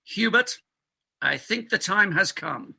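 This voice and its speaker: A man's voice: high voice, lively intonation, slow, gravelly, pushed and bright, husky, exaggerated voice. A man playing an exasperated, self-important hospital doctor, indignant and emphatic, in a comic stage farce reading. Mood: exasperated, scheming. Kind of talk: comic farce readers theatre.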